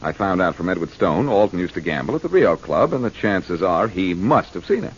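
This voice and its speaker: An actor playing a confident, wisecracking amateur detective in a 1940s radio drama, speaking in a glib, theatrical way. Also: male voice, sits low, big pitch swings, brisk. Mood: smug. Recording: muffled, noisy 1940s radio recording.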